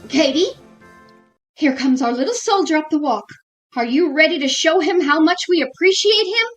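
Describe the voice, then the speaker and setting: Radio comedy housewife, played by a woman: very high, swooping, gushy, melodramatic and doting. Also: expressive, moderate pace, soft background music. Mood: brave, forced cheer. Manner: theatrical, gushing, overdramatic. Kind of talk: old-time radio comedy acting.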